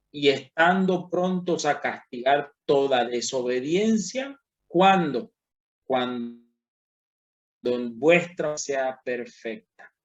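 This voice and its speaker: Slow, emphatic preaching man. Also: a little high, lo-fi sound. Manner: slow and emphatic, rising on key words, addressing listeners directly. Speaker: pastor teaching a Bible class. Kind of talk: sermon-style Bible lesson.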